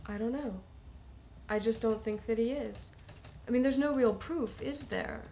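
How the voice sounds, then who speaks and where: A woman's voice: on the high side, a little slow, warm tone. A woman playing a doubtful, guarded character in audio drama dialogue, speaking in a hesitant, questioning way. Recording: faint background noise.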